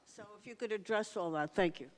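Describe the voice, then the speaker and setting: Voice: medium pitch, a little fast. Someone from the crowd pushing a long question, echoey hall. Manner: insistent, emphatic. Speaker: audience member asking a question. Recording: distant voice in a reverberant hall.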